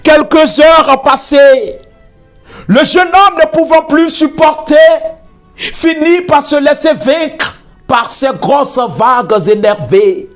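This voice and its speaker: Slow, dramatic poet with sweeping pitch. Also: lively intonation, fairly bright tone. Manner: solemn, declamatory, theatrical emphasis. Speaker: slam poet. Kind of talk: dramatic spoken-word poetry recital. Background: music under the voice.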